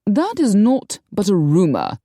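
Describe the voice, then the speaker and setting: An imperious vampire princess from a novel, voiced by a woman narrator. She is haughty and old-fashioned in phrasing, her tone dark and her delivery animated. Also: mid-range voice, a little slow, no echo, clean studio sound, full character voice. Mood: haughty concern. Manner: archaic, commanding phrasing.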